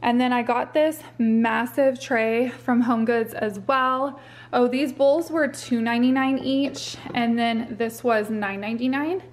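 A woman's voice: fairly high pitch, fairly even intonation, measured pace. A young woman giving a chatty, upbeat voice-over for a home vlog, with filler words like "um" and "like.". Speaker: home vlogger. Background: faint background music.

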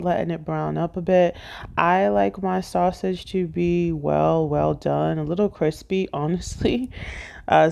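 A woman's voice: sits low, gravelly, mellow. A woman giving a casual, conversational voice-over as a home cooking vlogger, explaining things step by step.

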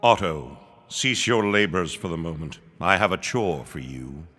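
An old preacher villain with a very low voice. He speaks slowly and grandly, savoring his vengeful schemes with quiet menace, in the manner of a period audio drama. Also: expressive, faint music behind, heavily acted. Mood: commanding. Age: older.

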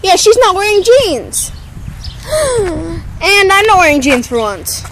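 A woman's voice: extremely high pitch, very animated, slow pace, fairly bright tone. A teenage girl filming on a handheld phone in a backyard, teasing and giggling as she chats. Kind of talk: playful backyard chat. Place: outdoor backyard. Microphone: handheld phone camera. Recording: outdoor phone recording, faint noise.

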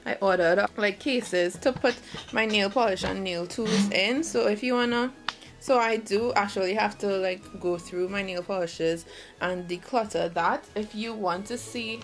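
A woman in her twenties chatting casually to a handheld camera in a bedroom, rambling and correcting herself as she goes. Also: medium pitch. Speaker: vlogger. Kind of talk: casual vlog chat. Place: small bedroom, indoors. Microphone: handheld camera at arm's length.

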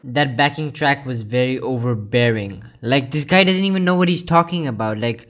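A man's voice: mid-range voice, normal speed, a bit bright. A young man from Pakistan, giving sarcastic, rambling comedic commentary on a video. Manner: sarcastic, mocking, rambling with many "like"s.

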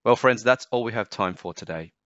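A man reading a children's book aloud as narrator, in a measured and expressive storytelling manner. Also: fairly low pitch, dry recording, dull audio. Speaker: storybook narrator, channel host. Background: music.

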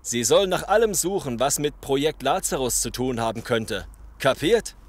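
An actor playing a ruthless, domineering gang leader in a German audio drama, commanding and condescending with menace. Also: male voice, medium pitch, lively intonation, normal speed, no room sound, lightly acted.

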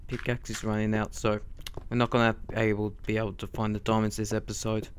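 A young man hosting casual gameplay commentary, slightly hoarse from a sore throat, talking in a quiet, low-key way. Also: completely flat delivery, quick pace, a little hiss or hum. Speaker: gaming channel host.

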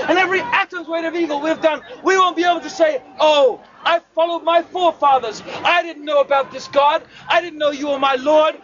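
High, shouting open-air street preacher man. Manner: shouting, fiery, punctuates with a challenging "huh?". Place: outdoors in a city, crowd around. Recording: dull lo-fi outdoor recording with crowd.

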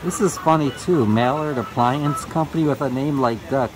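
A man's voice: medium pitch, moderate pace, over music, rough recording. A man vlogger making enthusiastic remarks on a visit to an indoor train museum. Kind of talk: casual chat.

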